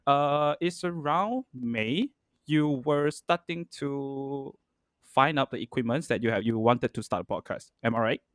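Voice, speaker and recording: A man's voice: medium pitch, a little slow, a bit bright, dry recording, studio quality. A man hosting a podcast, chatting in a friendly, hesitant way with frequent restarts and fillers. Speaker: podcast host. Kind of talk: casual podcast conversation.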